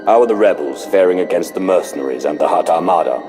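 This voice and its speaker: An actor playing a commanding, cold Sith lord in a video game, measured, formal and imperious. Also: male voice, low-pitched, in a large hall, over music, lightly acted. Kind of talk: video game cutscene acting.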